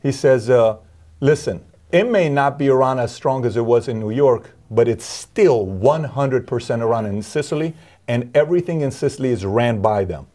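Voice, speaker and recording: A man hosting an interview, asking probing questions in a lively way, often restarting his sentences. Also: medium pitch, moderate pace. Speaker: interview host. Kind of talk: casual interview talk.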